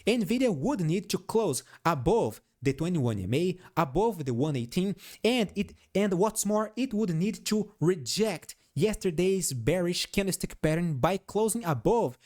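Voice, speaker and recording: Animated high-pitched man with non-native accent. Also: a little slow, few pauses. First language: not English. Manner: emphatic, repeats phrases, frequent "all right?" check-ins. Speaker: stock market analyst. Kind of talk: technical analysis commentary over charts.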